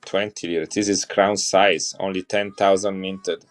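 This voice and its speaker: A man's voice: sits low, flat delivery, unhurried, faint music behind. A middle-aged man from Italy with an Italian accent, chatting enthusiastically to viewers as he shows and sells coins on a live stream. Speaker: coin seller on a live stream. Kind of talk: casual live-selling chat.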